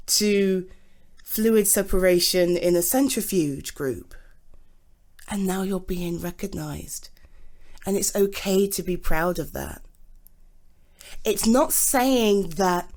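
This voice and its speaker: A woman's voice: on the low side, a little mellow. A British woman playing a supportive, affectionate girlfriend in an intimate ASMR roleplay, earnest and encouraging. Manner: encouraging, earnest, emphatic on key words. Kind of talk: ASMR roleplay, intimate one-sided conversation.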